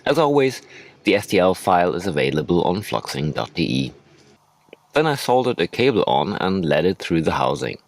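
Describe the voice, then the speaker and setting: A man's voice: sits low, sing-song, moderate pace. A man narrating a hobby tutorial as a voice-over, hosting his own how-to video.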